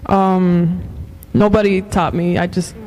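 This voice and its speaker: A woman's voice: lowish voice, flat delivery, takes it slowly, mellow, slight background noise, rough recording. A young woman from Los Angeles, a musician guest, chatting casually with many fillers and frequent laughs. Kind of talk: casual interview chat.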